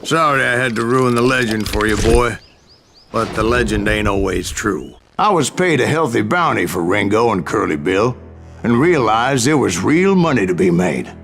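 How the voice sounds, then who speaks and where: An actor voicing an old bounty hunter from the American West, telling gunslinger tales in a folksy, unhurried way for a game. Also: male voice, lowish voice, animated, creaky, music in the background. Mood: grim, proud. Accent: American Western. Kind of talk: scripted in-character storytelling narration.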